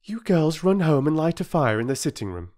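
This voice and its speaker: A man reading aloud and voicing a kind mother who speaks brightly and reassuringly. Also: on the high side, sing-song, fairly quick, pitched above the performer's own voice. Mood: brisk.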